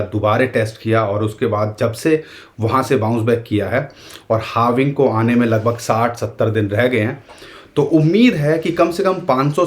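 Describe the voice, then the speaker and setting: An Indian-accented man talks his viewers through market charts, emphatic and direct. His throat is a little sore, and his pitch sits in an ordinary middle range. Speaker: crypto market commentator. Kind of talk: casual explainer talk to viewers.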